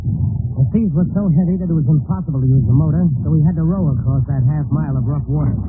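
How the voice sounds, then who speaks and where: Resolute merchant navy chief mate played by a male actor, high-pitched, vintage radio drama, tinny recording under loud music. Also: fast talker, noisy background, natural voice. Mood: tense recounting. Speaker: actor narrating as the main character. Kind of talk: 1940s radio drama acting.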